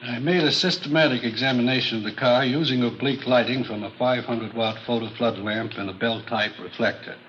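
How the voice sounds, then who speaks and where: A man's voice: medium pitch, a bit bright, slight background noise, close to an everyday voice. Man acting precise forensic witness, muffled old radio. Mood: factual, measured. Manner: measured, factual, unemotional testimony. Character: precise, matter-of-fact male forensic expert witness. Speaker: forensic chemist on the witness stand. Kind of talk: 1950s radio drama acting.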